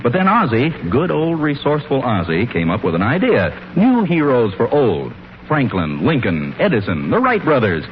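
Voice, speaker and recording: Male 1940s radio announcer, mid-pitched with sweeping intonation, polished sales delivery, muffled period sound. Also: wildly swooping pitch, moderate pace, faint music behind. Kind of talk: 1940s radio scripted narration and sponsor pitch. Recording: muffled old radio recording.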